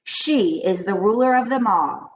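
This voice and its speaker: A woman voicing an old grandmother in a children's storybook, confiding grave witch lore in a deliberate, dramatic way. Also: mid-range voice, expressive, highs cut off, voice pushed up, close to an everyday voice. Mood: ominous. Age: older. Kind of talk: children's storybook read-aloud.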